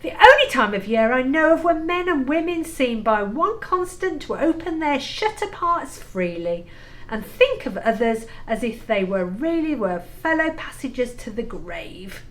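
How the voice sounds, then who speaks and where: Woman playing a high-voiced, exuberant young nephew. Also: very animated, unhurried, fairly bright tone, full character voice, rough recording. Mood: earnest, warm. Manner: earnest, exuberant, good-humoured. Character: cheerful, kind-hearted young nephew, Fred. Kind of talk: amateur audio play acting.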